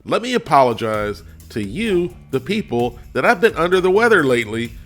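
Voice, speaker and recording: Congested man hosting a lively food review. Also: fairly high pitch, normal speed, soft background music. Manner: folksy and chatty, talks straight to viewers. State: congested, voice a little cracky. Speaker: food reviewer, channel host. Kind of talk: casual video food review.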